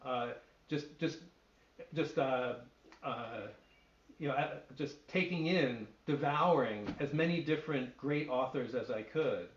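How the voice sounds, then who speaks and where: A man's voice: medium pitch, unhurried, slight background noise. A middle-aged man, a translator, answering questions on a video call in a thoughtful way, often restarting as he searches for words. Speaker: literary translator and poet. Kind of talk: answering questions at an online reading. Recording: echoey, dull lo-fi call audio.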